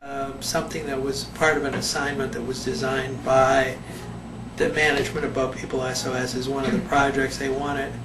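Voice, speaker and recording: An older man, a retired psychologist, answering interview questions slowly and thoughtfully, pausing often. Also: mid-range voice, level tone. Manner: slow, thoughtful, with many pauses and fillers. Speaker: retired behavior psychologist, volunteer. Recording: echoey room, mic at a distance, background noise.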